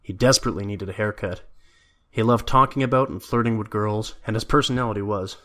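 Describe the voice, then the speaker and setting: A man's voice: fairly low pitch, quick pace, a bit bright. A man reading scripted story narration as the narrator of an audio drama.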